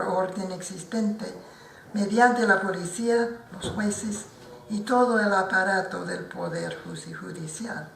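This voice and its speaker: A non-native older woman giving formal comments at a book presentation, speaking slowly and carefully and often restarting her words. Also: medium pitch, fairly bright tone, slight background noise. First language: not Spanish. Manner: slow and careful, with frequent restarts. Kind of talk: formal talk at a book launch. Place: lecture hall at an institute. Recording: roomy hall picked up from a distance.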